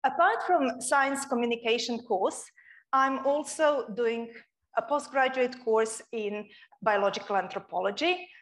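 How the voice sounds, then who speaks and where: A young woman from Croatia giving an earnest, expressive public lecture as a guest speaker in a lecture room. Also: fairly high pitch, unhurried.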